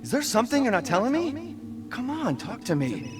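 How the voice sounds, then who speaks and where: A man acting an anxious, protective young husband and father in a video game, tense and quick to anger. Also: extremely high pitch, huge pitch swings, full character voice. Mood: concerned, frustrated. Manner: tense, emotional, swinging from muttered to shouted. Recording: music behind the voice.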